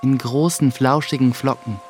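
A man's voice: mid-range voice, fairly even intonation, normal speed, a little mellow, natural voice. Man as an earnest young apprentice, music behind. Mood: narrating, measured. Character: young miller's apprentice in sorcery, earnest, recalling his story. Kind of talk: scripted first-person narration in German radio play.

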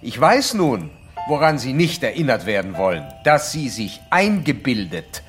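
An actor playing an insistent psychoanalyst who lectures a patient in formal, didactic, old-fashioned German radio drama style. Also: male voice, medium pitch, sing-song, moderate pace, faint music behind, close to an everyday voice. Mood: lecturing. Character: male psychoanalyst doctor, insistent, certain, lecturing his young patient.

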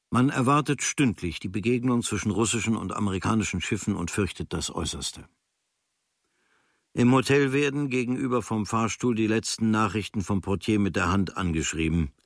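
A man playing an observant writer, reading his travel diary as a radio drama in measured, clipped literary phrases. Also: fairly low pitch, no echo, studio quality.

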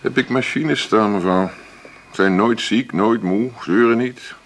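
A man playing a middle-aged café boss in a naturalistic radio drama, blunt, condescending and rambling, with a gravelly voice. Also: lowish voice, measured pace. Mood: dismissive, blunt. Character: blunt, prejudiced male café boss, condescending to job seekers. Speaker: employer interviewing an applicant.

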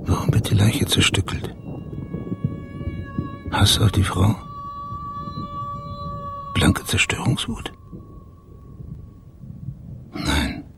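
An actor playing a calm private investigator in a radio crime drama, terse, polite and inquisitive. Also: male voice, lowish voice, heavily acted. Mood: brooding.

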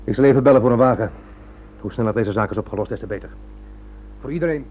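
An actor in a Dutch radio drama playing an evasive, defensive police inspector. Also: male voice, medium pitch, fast, close to an everyday voice. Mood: indignant, clipped. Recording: dull, noisy old radio recording with hum.

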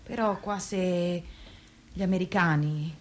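An actress playing a reflective granddaughter of Holocaust survivors in a staged testimony reading, halting and conversational as she searches for words. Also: lowish voice. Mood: earnest. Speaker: reader in a staged radio reading. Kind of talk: dramatic reading of personal testimony with music.

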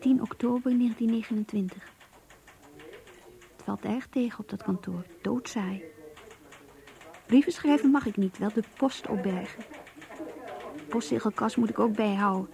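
An actress in a radio play voicing an earnest, introspective young woman who confides in her diary in an intimate, breathy voice. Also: on the high side, moderate pace, pauses often, a little mellow. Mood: matter-of-fact, rueful. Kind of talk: radio drama diary monologue.

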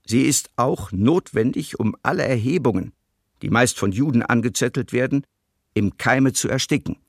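A man playing a ruthless German field marshal, reading a formal order coldly and deliberately in a radio play. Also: medium pitch, no echo, studio quality, natural voice. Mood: cold, stern.

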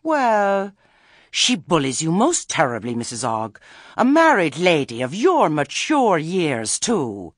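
Narrator doing a fussy posh-mannered lady witch, trilly n condescending, high swoopy voice, storybook. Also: huge pitch swings, takes it slowly, no room sound, studio quality, full character voice. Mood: indignant. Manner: trilling, patronising, over-polite.

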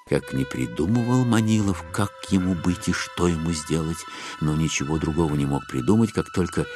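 A man narrating a literary audio drama in an expressive, wry storytelling style. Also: deep voice.

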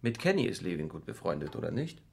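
An actor playing a rigid, indignant middle-aged father and judge in a radio drama, stiff and halting in his speech. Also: sits low, big pitch swings, creaky, husky, full character voice. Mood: indignant, strained. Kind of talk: naturalistic radio drama dialogue.

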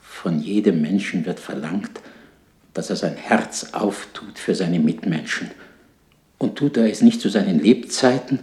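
A tormented male ghost in an old radio play. He speaks very slowly in a lamenting, solemn warning. The low voice slips toward whispering and rings in heavy echo. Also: a little mellow. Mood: tormented, mournful. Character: tormented ghost of a dead miser, remorseful, warning. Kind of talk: 1960s German radio drama acting. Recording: echoey 1960s radio play recording.

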